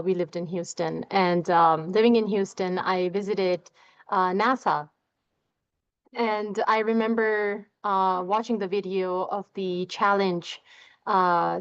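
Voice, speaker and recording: Interview guest: young woman from pakistan, halting delivery, smooth tone, muffled lo-fi sound. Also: mid-range voice. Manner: halting, many fillers and repeated words. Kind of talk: personal story in an interview.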